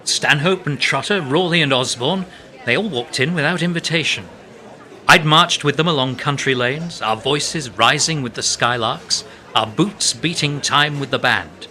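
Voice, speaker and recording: Earnest, bright-voiced English playwright. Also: male voice, fairly high pitch, moderate pace. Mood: wistful, impassioned. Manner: lyrical, reflective, articulate. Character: earnest, poetic 1920s English playwright, former army captain. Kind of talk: radio play acting.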